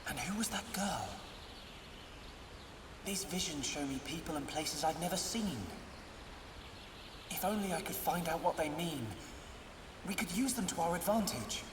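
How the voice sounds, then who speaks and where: A man's voice: fairly high pitch, unhurried, pauses often. A man playing a pensive young hero thinking to himself in a video game, with long reverb on the voice. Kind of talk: video game inner monologue. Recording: distant, echoing, noisy with hiss.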